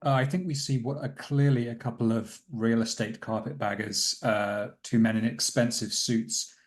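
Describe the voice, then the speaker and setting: A man's voice: medium pitch, fairly even intonation, moderate pace. A man playing a tabletop game, improvising a story in flowing descriptive sentences.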